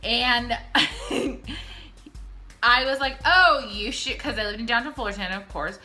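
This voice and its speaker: A young Californian woman speaks in a high, very bright and forceful voice over faint music. She is tipsy and chatty, telling stories casually with brash slang, plenty of swearing and dramatic emphasis. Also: unhurried. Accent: Californian American. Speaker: YouTube vlogger. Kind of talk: casual storytime Q&A.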